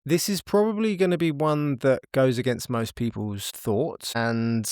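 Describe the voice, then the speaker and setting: A British man in his thirties talks openly as a podcast guest, his voice at an everyday middle pitch. He restarts and repeats words as he works out his thoughts, recorded in a dry, close space. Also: unhurried, darkish tone, clean tone, no echo. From United Kingdom. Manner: frank, repeats words while thinking. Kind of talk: casual interview chat.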